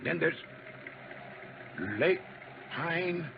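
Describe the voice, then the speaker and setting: An actor playing an anxious, older small-town father in a 1950s radio drama, speaking in a fretful, halting, folksy way. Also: on the high side, steady tone, creaky, full character voice. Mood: anxious, thinking aloud. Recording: muffled, noisy 1950s radio recording.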